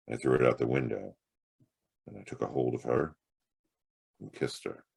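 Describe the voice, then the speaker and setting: An actor playing a hard-boiled, wisecracking private detective in a noir radio drama, with a gravelly, husky voice and a sardonic drawl. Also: male voice, rumbling low, heavily acted. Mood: reflective. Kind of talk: noir radio drama acting and first-person narration.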